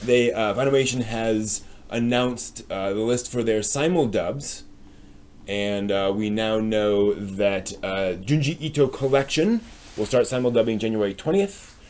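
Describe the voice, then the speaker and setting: Man, American accent, lowish pitch, live stream host, casual news rundown, hesitant, halting, thinking aloud. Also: a little mellow. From United States.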